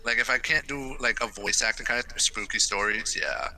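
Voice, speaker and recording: A man's voice: medium pitch, moderate pace, over a noisy crowd, low-quality recording. A young man as a podcast guest, brash and joking, swearing freely. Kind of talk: casual chat. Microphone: headset mic.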